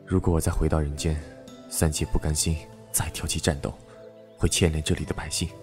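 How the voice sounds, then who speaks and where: A man acting a calm, teasing and devoted supernatural fighter in a Chinese costume audio drama. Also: extremely low pitch, a little fast, music in the background. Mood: solemn, gentle.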